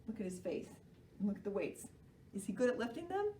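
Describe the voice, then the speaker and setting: A woman's voice: mid-range voice, sing-song, brisk, dark tone, a little hiss or hum. A woman leading a children's storytime, reading expressively and putting questions to her young listeners. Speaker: children's library storytime reader. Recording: roomy echo, far from the mic.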